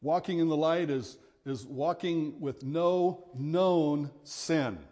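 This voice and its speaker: A man's voice: fairly high pitch, takes it slowly. Big-voiced man preaching a sermon. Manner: earnest, building to forceful exhortation.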